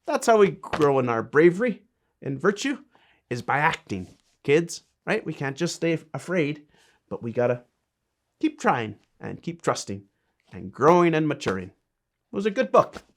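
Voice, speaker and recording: A man's voice: on the high side, sing-song, unhurried, slight background noise. Man reading storybooks to children. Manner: simple, encouraging asides addressed to kids.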